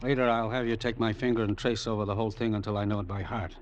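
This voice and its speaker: An actor playing a shrewd, controlled crime boss in an old radio drama, speaking in terse, deliberate commands. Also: male voice, on the low side, little pitch movement, highs cut off, lightly acted. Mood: calculating, commanding. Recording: noisy old radio recording.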